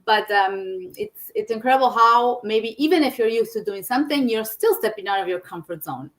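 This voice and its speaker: A woman hosting a live-stream chat on a video call. She talks in a halting way and often restarts her phrases. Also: fairly high pitch, lively intonation. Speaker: host.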